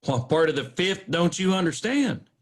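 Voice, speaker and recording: A man's voice: high voice, big pitch swings, moderate pace. A man playing an evasive, pompous small-town lawyer in a comic radio drama, talking in wordy legal phrases. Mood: evasive, stubborn. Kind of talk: comic old-time radio drama acting.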